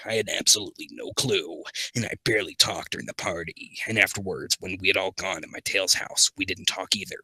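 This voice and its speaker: Man acting a lovestruck cartoon hedgehog, low, rough, wistful, halting fan fiction confession, dry sound. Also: sharp tone, dry recording, heavily acted, low-quality recording. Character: lovestruck young male hedgehog hero narrating his secret crush. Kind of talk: fan fiction read aloud.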